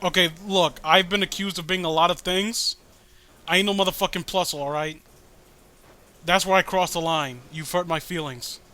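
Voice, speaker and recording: A man's voice: high voice, steady tone, normal speed, sharp tone. A man co-hosting a casual comic read-along, rambling and exasperated, with frequent swearing. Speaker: co-host reading a webcomic. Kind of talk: casual comic riffing and commentary.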